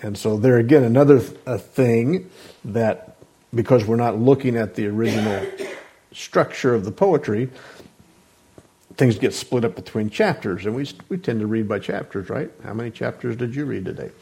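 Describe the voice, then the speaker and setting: A man leading a Bible class into a microphone, talking hesitantly with many restarts and fillers and clearing his throat often. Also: mid-range voice, normal speed, mellow. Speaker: Bible teacher. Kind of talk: informal Bible class talk. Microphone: microphone on a sound system.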